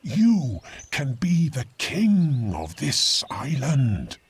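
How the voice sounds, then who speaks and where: Fishy island monster from a storybook, bitter and servile, really dragged out words, swoopy pitch. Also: male voice, wildly swooping pitch, breathy edge, exaggerated voice. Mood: scheming. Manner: slow, drawn-out delivery. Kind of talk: storybook character voice.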